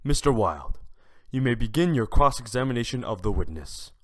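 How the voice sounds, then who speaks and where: A man's voice: mid-range voice, lively intonation, moderate pace, background noise, lightly acted. A man voicing a formal, authoritative judge presiding over a courtroom in a fan audio drama. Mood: stern. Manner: formal, commanding. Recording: music under the voice. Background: music.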